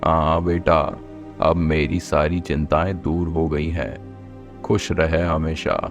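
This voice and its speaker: A man playing an aging, stern and worried father in a dramatic, deliberate Hindi cartoon story delivery. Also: extremely low pitch, little pitch movement, highs cut off, soft background music, heavily acted. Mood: relieved. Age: older.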